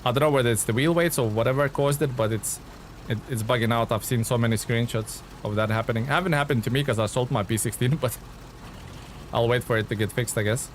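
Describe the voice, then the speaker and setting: A man from Lithuania, a game streamer chatting casually with viewers in a relaxed, rambling way. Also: mid-range voice, quick pace, a little hiss or hum. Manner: relaxed, chatty, many fillers and restarts. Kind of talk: casual live-stream chat reading viewer comments.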